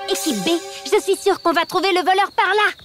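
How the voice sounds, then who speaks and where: A woman's voice: very high voice, brisk, over music. A woman voicing a composed, analytical, brainy teenage girl in a French cartoon dub, speaking matter-of-factly. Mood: confident.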